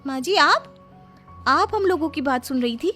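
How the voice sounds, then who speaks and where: A woman's voice: sits high, lively intonation, moderate pace, sharp tone, faint music behind. A woman playing a cheeky, stubborn young Indian daughter-in-law in a cartoon, with a pert, dramatic delivery. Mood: startled. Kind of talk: Hindi cartoon story dubbing.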